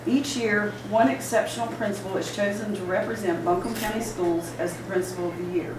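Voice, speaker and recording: Hoarse woman reading formal remarks. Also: mid-range voice, steady tone. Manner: formal, measured. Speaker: bank sponsor representative. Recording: echoey hall from far off, noisy.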